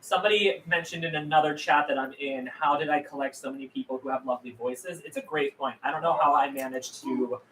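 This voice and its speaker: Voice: mid-range voice, fast talker, hardly any pauses, fairly bright tone. The host and writer of a table read, chatting casually with a group in a room. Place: indoors, room with a gas fireplace. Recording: distant, noisy roomy group pickup.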